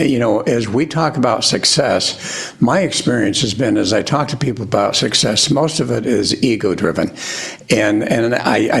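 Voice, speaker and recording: A man's voice: mid-range voice, vocal fry, slightly rough. A man hosting a podcast, an affirming interviewer who chuckles easily. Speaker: podcast host. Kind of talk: conversational interview.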